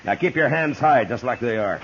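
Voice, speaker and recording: An actor playing a stern, steady frontier marshal in a 1950s radio western, speaking tersely and with command. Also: male voice, mid-range voice, fairly quick. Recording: dull, noisy vintage radio recording.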